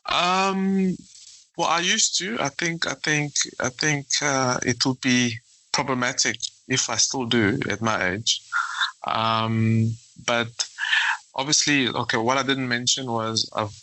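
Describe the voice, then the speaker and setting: Guy in his 30s, slow talker, very bright edge, dry close sound, lots of ums. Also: mid-range voice, steady tone, no echo, low-quality recording. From South Africa. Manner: hesitant, searching for words with restarts. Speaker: guest, aeronautical engineer. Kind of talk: casual interview answers.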